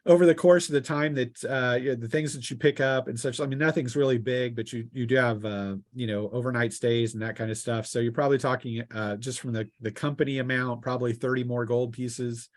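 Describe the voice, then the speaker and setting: Male game master, mid pitch, casual and halting, thinking aloud as he explains. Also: fairly even intonation. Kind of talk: casual tabletop role-playing game talk.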